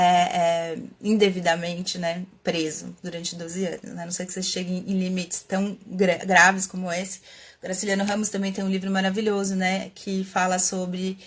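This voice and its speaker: Brief: Brazilian woman host, mid-pitched, reflective live talk, halting with fillers. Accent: Brazilian Portuguese. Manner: thinking aloud with many fillers and restarts. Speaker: host of a live stream on writing. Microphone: webcam or computer mic, live stream.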